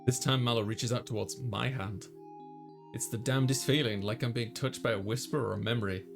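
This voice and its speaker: A man's voice: medium pitch, fairly even intonation, a little fast. Man narrating a visual novel over music. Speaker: let's player voicing a visual novel. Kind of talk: reading game narration and dialogue aloud, dramatized. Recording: music playing behind the voice. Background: clear background music.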